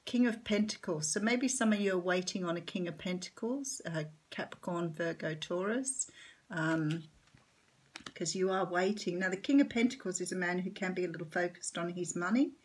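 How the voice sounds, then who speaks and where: A woman with an Australian accent giving a chatty tarot reading, her voice a little croaky this morning, with lots of ums. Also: on the low side, moderate pace, slight background noise. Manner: chatty, warm-hearted, rambling with many ums. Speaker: tarot reader. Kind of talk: casual tarot reading vlog.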